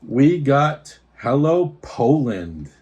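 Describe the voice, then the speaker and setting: A man's voice: mid-range voice, very animated, extremely slow, a little mellow, dull audio. A man hosting a casual snack taste-test, reading out product notes and stumbling over foreign names. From United States.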